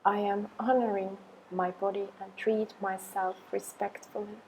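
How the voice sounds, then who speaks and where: Woman guiding meditation in an even tone. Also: medium pitch, vocal fry, slight background noise, low-quality recording. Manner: slow, measured phrasing with long pauses. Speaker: meditation and yoga guide. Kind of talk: guided affirmation meditation.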